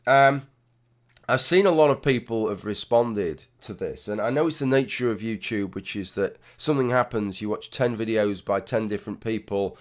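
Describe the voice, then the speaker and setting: A man, hoarse and gravelly after a late night, talking through casual vlog commentary with blunt swearing and many restarts. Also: fairly low pitch, moderate pace. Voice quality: gravelly, husky. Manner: rambling, frequent restarts, blunt swearing, wry asides. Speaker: YouTube commentator.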